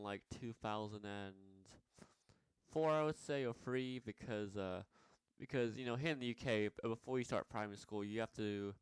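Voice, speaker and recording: Young male vlogger from Nepal, low voice, very clear and dry, halting and full of fillers. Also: no echo, low-quality recording. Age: young adult. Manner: hesitant, many fillers and restarts. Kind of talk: rambling personal vlog.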